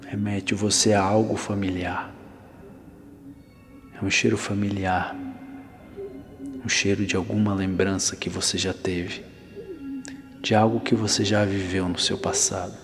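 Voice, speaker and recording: A low-voiced Brazilian man guides a meditation in an ASMR style. He speaks very slowly and calmly, leaving long pauses, with an even, barely varying melody. His voice trails into a long reverb. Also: over music. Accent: Brazilian Portuguese. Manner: calm, instructing, with long pauses. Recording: long reverberant hall-like echo.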